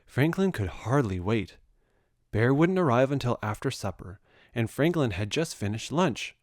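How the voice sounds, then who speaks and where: Lively male storybook reader. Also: mid-range voice, normal speed, dry recording, studio quality. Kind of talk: expressive children's read-aloud narration.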